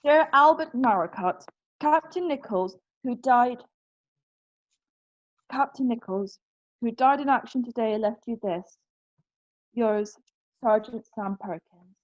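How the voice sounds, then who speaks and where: A woman's voice: a little high, slow, long pauses, lo-fi sound. A woman reading the part of an earnest young farm lad in an amateur screenplay read-through. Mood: hesitant, earnest.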